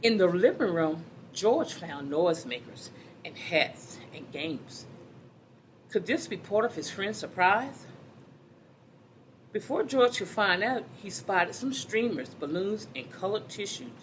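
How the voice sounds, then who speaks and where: A woman's voice: medium pitch, animated, slow, a little hiss or hum, rough recording. A woman reading a children's story aloud, expressively, addressing her young listeners directly.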